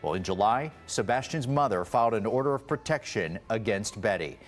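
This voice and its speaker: A man's voice: on the low side, expressive, fairly quick, soft background music. A man anchoring a television news broadcast, reading a scripted story.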